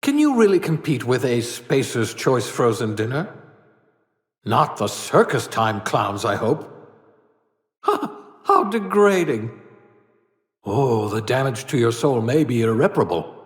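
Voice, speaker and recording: An actor voicing an eccentric older mad scientist in a video game, sardonic and theatrical, given to wry muttered asides. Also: male voice, mid-range voice, sing-song, a little slow, pauses often. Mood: sardonic musing. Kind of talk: video game character voice acting. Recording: echoey hall-like reverb.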